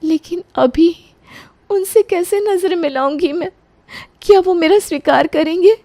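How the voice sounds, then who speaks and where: Lady doing a meek young bride, anxious and respectful, pitched way up, dry story audio. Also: measured pace, mellow, dry recording. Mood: ashamed, anxious. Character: timid, distressed young daughter-in-law, respectful.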